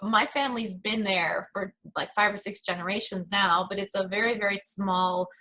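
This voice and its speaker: A woman's voice: mid-range voice, fairly even intonation, normal speed, sharp tone, slight background noise, low-quality recording. A woman who grew up in the Caribbean, an interviewer chatting and telling a personal story. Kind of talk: conversational interview.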